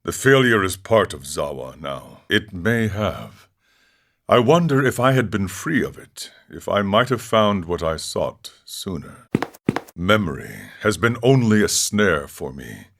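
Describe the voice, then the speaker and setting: An actor playing a serene, eccentric ascetic monk in a video game, speaking in a measured, philosophical way with formal phrasing. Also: male voice, low voice, big pitch swings, a bit bright, slight background noise, exaggerated voice. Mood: solemn, resolved. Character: serene, eccentric ascetic drug-taking monk who relishes suffering. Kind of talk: scripted game character dialogue.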